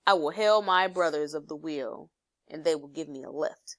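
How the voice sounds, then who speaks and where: Woman playing a pompous, excitable storybook toad gentleman. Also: low-pitched, normal speed, no echo, pitched below the performer's own voice. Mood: confident. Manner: pompous, exclamatory. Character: conceited, excitable male toad, dramatic and boastful. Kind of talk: children's storybook read aloud.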